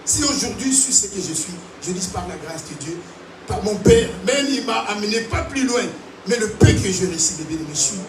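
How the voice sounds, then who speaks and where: A man from Africa preaching a sermon to a congregation, animated and calling out to them. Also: high voice, a little fast, over music. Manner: animated preaching with call-and-response. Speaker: pastor. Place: large church hall. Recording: echoey hall, distant mic, noisy.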